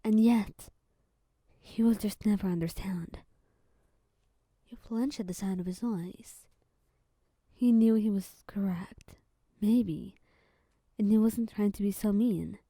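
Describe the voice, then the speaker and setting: A woman narrating a romantic second-person story in a soft, whispered ASMR style. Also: medium pitch, no room sound.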